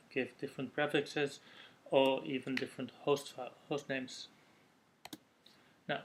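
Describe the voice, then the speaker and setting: A Dutch-accented man delivers a prepared technical presentation in a measured, explanatory way, sometimes correcting himself mid-phrase. His pitch sits mid-range and stays fairly level, with little rise and fall. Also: slight background noise. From Netherlands. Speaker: conference speaker. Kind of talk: recorded tech presentation.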